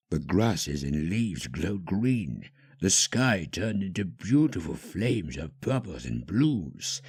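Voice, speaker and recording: A man's voice: sits low, a little mellow, slightly rough. A man reading a fan-fiction story as a dramatic scripted narrator.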